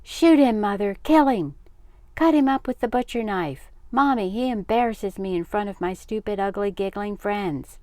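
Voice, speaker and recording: Woman doing a high, squeaky mocking voice. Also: expressive, a little slow, few pauses, a little mellow, dry recording. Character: boy mimicking his sister in squeaky mockery. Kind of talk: children's storybook reading.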